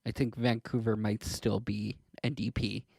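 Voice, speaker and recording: Male co-host, gravelly mid-pitched voice, close dry sound, informal and slightly hesitant in political conversation. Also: little pitch movement, normal speed, a little mellow, no room sound. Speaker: podcast co-host. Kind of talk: casual political chat.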